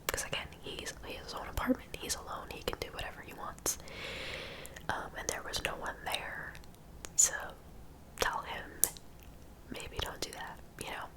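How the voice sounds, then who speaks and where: A woman telling a story in a soft, breathy whisper, ASMR style, with gentle, informal, confiding asides. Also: normal speed, pauses often, rough recording. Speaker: host of a true crime ASMR series.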